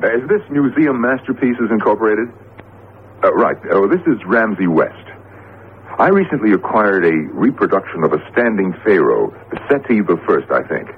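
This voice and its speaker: An actor playing an anxious, excitable male professor in a 1970s radio drama, hesitant and exclaiming as he talks. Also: on the low side, moderate pace. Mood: polite, curious. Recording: noisy lo-fi radio broadcast.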